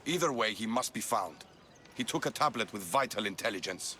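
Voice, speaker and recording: A man's voice: fairly low pitch, animated, a little fast, bright, forceful tone, slight background noise. A man playing a stern, distrustful Spartan army commander in a video game, speaking curtly. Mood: stern, grim. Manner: curt, stern. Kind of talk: video game cutscene acting.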